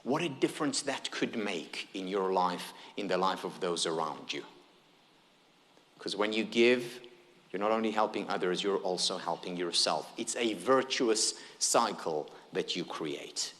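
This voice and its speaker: A man's voice: deep voice, animated, measured pace, fairly bright tone, in a large hall. A man whose first language is Hebrew gives a conference keynote, engaging the audience with questions and humor. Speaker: keynote speaker.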